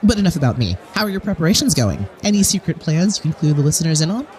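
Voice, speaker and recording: A woman's voice: low voice, very animated, fast, darkish tone. A woman playing a showy, self-promoting talk show host in an audio drama, gushing with dry, backhanded politeness. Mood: chatty, probing. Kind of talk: broadcast interview in audio drama. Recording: crowd murmur behind.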